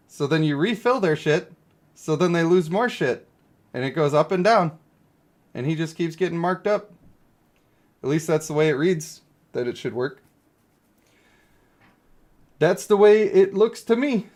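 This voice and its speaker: A man doing casual live-stream game commentary, reading text aloud and thinking out loud in informal, slangy, sometimes profane language. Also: on the high side.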